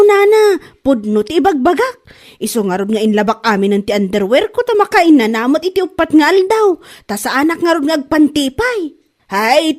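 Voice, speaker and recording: A woman playing a stubborn, superstitious, whiny wife in an Ilocano comedy drama, with an Ilocano accent. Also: sits high. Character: stubborn superstitious wife, shameless and defiant about luck.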